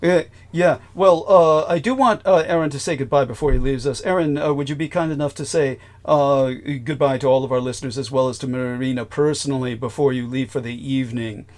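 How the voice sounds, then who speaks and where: A man's voice: medium pitch, fairly quick, few pauses, darkish tone. A man hosting a podcast conversation, formal and effusive, often restarting his sentences. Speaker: host.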